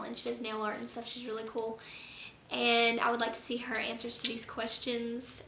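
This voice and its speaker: A young woman with a hoarse, fading voice, talking earnestly and rambling a little in a casual faith vlog. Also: on the high side, bright, forceful tone. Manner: earnest, rambling, lots of fillers. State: hoarse, losing her voice. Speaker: vlogger. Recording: echoey room, faint noise.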